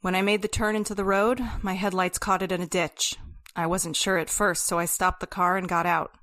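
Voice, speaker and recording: An actress playing a serious, guarded doctor in an old-time radio horror play, measured and a little hesitant. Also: female voice, lowish voice, flat delivery, a bit bright, lightly acted. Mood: grim.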